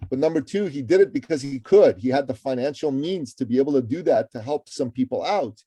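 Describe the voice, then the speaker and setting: A man's voice: medium pitch, animated, a little fast, dark tone, husky, dry recording. A middle-aged man from Iran, a guest author and entrepreneur, talking energetically and emphatically in an interview, often restarting words. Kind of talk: interview conversation.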